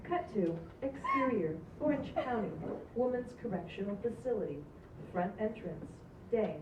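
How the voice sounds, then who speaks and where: A woman's voice: fairly high pitch, lively intonation, normal speed. A woman reading scripted stage directions as narration at a live table read. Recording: distant, echoey, muffled, noisy room.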